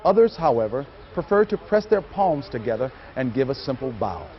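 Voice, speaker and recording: A man's voice: medium pitch, very animated, normal speed. A man reading scripted narration for a training film. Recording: muffled audio with music behind. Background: music.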